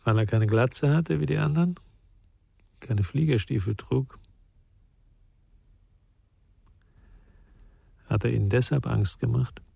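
Husky, insistent therapist-sleuth. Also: male voice, mid-range voice, normal speed, warm tone, dry recording. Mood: probing. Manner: insistent, certain. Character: probing, self-assured psychotherapist turned investigator. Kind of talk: German radio crime drama acting.

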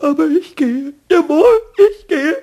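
A man voicing a small, childish male kobold in a children's radio play, squeaky, cheeky and whiny, stammering and pleading. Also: animated, unhurried, darkish tone, heavily acted. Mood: tearful, defiant. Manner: stammering protests, wailing, pleading.

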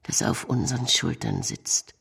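A woman performing poetic radio art, reciting in deliberate, fragmented phrases. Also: a bass voice, wildly swooping pitch, vocal fry, slightly rough. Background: loud music.

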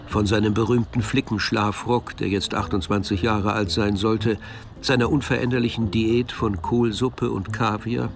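A man narrating a radio drama, telling the story in a measured, precise literary style. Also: medium pitch, creaky, lightly acted. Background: music.

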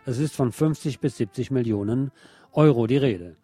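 Mellow-voiced man reading radio news. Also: medium pitch, normal speed, husky. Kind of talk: scripted radio news reading with wry commentary.

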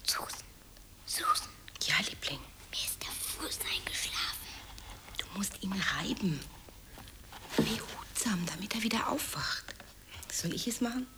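A woman's voice: mid-range voice, very animated, measured pace, pauses often. Whispering, tender woman. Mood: hushed, tender. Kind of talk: German radio play acting.

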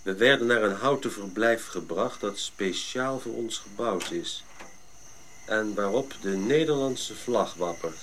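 Gentle Dutch traveller, radio drama, slow measured delivery, lowish voice, faint cricket noise. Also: male voice. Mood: measured, recounting. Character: Willem, gentle Dutch expedition member recounting his journey. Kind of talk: radio drama narration and dialogue.

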